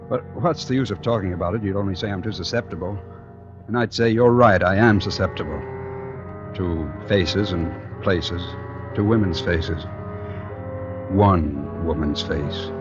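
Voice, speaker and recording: Actor as low-voiced brooding painter in radio suspense. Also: male voice, lightly acted. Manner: moody, deliberate, dramatic phrasing. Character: brooding, fatalistic male painter narrating his dark tale. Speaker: narrator and lead actor. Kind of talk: 1940s-50s radio suspense acting, first-person narration. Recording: dull old radio recording, music behind.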